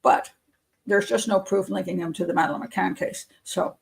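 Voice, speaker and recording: A woman who works as a criminal profiler hosts a casual live members' show, rambling and chatty, losing her voice and clearing her throat. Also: mid-range voice, steady tone, moderate pace. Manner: chatty and rambling, with frequent fillers and soft laughs. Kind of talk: casual live-stream chat.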